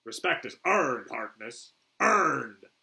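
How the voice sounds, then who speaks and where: Man acting a forceful, stern military officer. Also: medium pitch, expressive, normal speed, voice pushed up.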